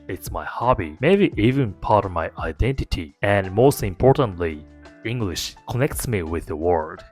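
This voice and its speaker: A man with a Japanese accent, a native Japanese speaker, giving an earnest, encouraging talk to camera about learning English. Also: lowish voice. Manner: earnest, encouraging, plain-spoken. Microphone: talking to camera.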